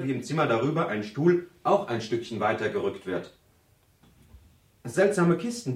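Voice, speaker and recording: A man's voice: mid-range voice, natural voice. An actor playing a zealous, argumentative male university student who lectures emphatically in a radio drama seminar. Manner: lecturing, emphatic, with theatrical build-ups. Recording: roomy, slightly distant studio acoustic.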